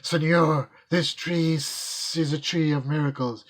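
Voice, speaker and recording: Slow hissing snake, grave and pleading, a man doing the voice. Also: a little high, steady tone, close to an everyday voice. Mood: solemn. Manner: hisses and stretches out words. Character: hissing old boa constrictor, slow and solemn.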